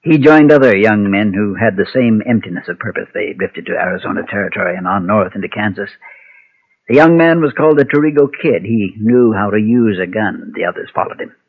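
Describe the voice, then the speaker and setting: Guilt ridden ex gunslinger preacher, lowish voice, halting and solemn, old muffled radio western. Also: male voice, expressive. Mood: grave confession. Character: guilt-ridden former preacher and gunfighter, weary and haunted. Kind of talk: Golden Age radio western acting. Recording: muffled, thin vintage radio recording.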